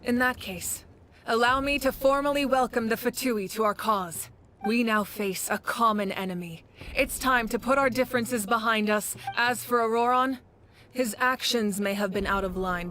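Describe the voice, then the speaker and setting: A woman voicing a resolute, diplomatic leader in a video game, speaking in a measured, formal way. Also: fairly high pitch, fairly bright tone, close to an everyday voice. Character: composed, dignified female leader, resolute and diplomatic.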